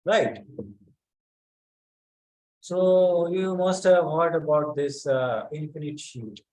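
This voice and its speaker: A man leads an online physics lesson. His voice sits somewhat high, and he talks slowly and haltingly with many pauses. He often stops to check in with students by name. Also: steady tone, a little mellow, rough recording. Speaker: physics teacher. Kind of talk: online class lecture.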